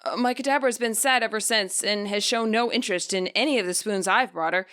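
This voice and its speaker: A woman's voice: medium pitch, fast, a bit bright, close to an everyday voice. A woman voicing a saddened Pokémon trainer who explains things hesitantly in a game-world audio story. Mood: sheepish, sad.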